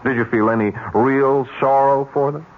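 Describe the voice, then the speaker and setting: An actor playing a smooth, coyly evasive man making a shady offer in a 1970s radio drama, measured and softly persuasive. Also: mid-range voice, fairly even intonation, close to an everyday voice. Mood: insinuating, persuasive. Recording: muffled, noisy old radio broadcast.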